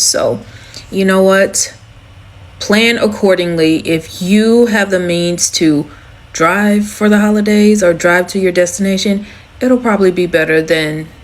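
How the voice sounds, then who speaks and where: An exasperated woman speaks informally to camera in a fairly low voice. Her delivery is blunt, built from short, punchy sentences. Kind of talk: frustrated informal commentary to camera.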